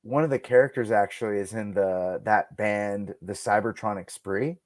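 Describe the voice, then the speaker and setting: A man's voice: fairly low pitch, moderate pace, no echo, studio quality. A man speaking as a podcast guest in a casual interview, enthusiastic and emphatic about his own creative work. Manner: enthusiastic, emphatic, acts out quoted lines. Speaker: guest, radio producer and audio drama creator.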